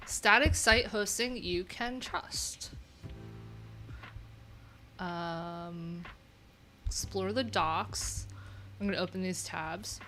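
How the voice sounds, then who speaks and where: A woman's voice: fairly low pitch, very slow, long pauses, sharp tone, faint music behind. A woman livestream host chatting casually and reading things aloud, with wry, informal, rambling asides. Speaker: livestream host, web developer.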